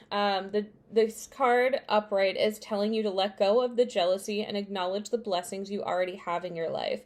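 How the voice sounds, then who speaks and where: A young woman giving a casual tarot card reading, chatty and meandering, with many fillers and asides. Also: medium pitch. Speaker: tarot reader.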